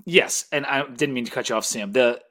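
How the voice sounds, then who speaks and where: Male podcast host, mid-range voice, keen and chatty sports talk in a relaxed conversational style. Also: mid-range voice, brisk.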